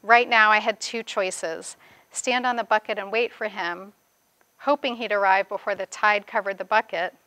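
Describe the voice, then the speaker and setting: Bright-voiced woman author reading aloud. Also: medium pitch, steady tone, moderate pace, no echo, poor audio. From grew up in the Bahamas. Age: 40s. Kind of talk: reading aloud from own memoir, with spoken commentary. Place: indoors, seated before a plain wall at a reading event.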